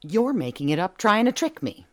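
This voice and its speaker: A sly woman suspected of burglary in an audio drama. Her pitch is lively and varied, moving from meek murmurs to emphatic, theatrical protest. She is playful, evasive and teasing, always working on whoever questions her. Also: moderate pace. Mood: defensive, indignant. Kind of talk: audio drama dialogue acting.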